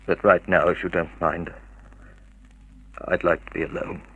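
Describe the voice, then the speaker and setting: Proud, bitter husband, forceful voice. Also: male voice, low-pitched, little pitch movement, unhurried, breathy edge, lo-fi sound, heavily acted. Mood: cold, bitter. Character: proud, bitter wronged husband, formal and commanding. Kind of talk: 1950s radio drama acting.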